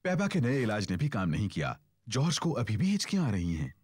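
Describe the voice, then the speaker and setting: A man giving scripted narration for a children's cartoon, in a clear storytelling manner. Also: fairly low pitch, huge pitch swings, brisk, noisy background.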